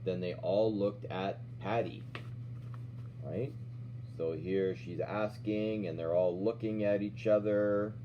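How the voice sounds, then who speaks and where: Slow-talking man reading a storybook. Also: fairly low pitch, fairly even intonation. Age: middle-aged. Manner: checks in with questions, often ending "right?". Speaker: martial arts instructor reading to children. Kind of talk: storybook read-aloud with explanatory asides. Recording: dull lo-fi sound with faint hum.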